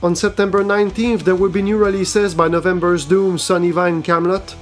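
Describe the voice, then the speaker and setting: Male host, flat measured read, highish voice, soft guitar music under it. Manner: even, measured reading. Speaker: host of a metal news show. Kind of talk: scripted album-release roundup.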